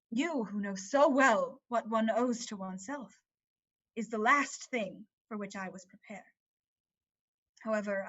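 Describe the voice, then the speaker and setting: An earnest, motherly figure acted by a woman with a fairly high voice and formal, measured delivery. She sounds consoling and articulate, as in a dramatic reading of an old play. The audio is slightly dull and lo-fi. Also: fairly bright tone. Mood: earnest, composed.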